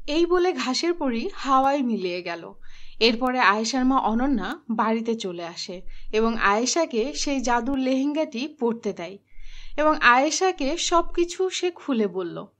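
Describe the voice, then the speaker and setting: High-voiced woman narrating a fairy tale. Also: expressive, unhurried, a bit bright. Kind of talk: scripted storybook narration.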